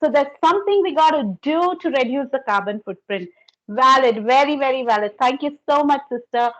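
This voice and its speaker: A woman's voice: high voice, lively intonation, a little fast, a bit bright, poor audio. A woman hosting a panel discussion, effusive and affirming as she moderates. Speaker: panel host.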